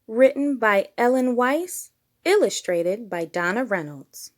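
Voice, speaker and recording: Animated woman reading a children's story. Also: fairly high pitch, slow. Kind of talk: expressive scripted storybook narration.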